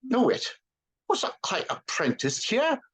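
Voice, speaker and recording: A man's voice: very high-pitched, slow, husky, pitched above the performer's own voice, exaggerated voice. A man voicing an old miser who is stirred by memories, in a storybook reading. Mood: excited. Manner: excited, wistful. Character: old miser Scrooge, moved and nostalgic.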